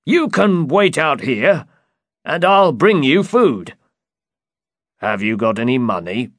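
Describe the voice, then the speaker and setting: A man's voice: mid-range voice, very animated, takes it slowly, dry recording, clean studio sound, pitched above the performer's own voice, full character voice. A man voicing a friendly, practical innkeeper in a storybook, hearty and obliging in manner. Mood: practical, advising. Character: loud, friendly, practical male innkeeper humoring an eccentric. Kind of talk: dramatized storybook reading.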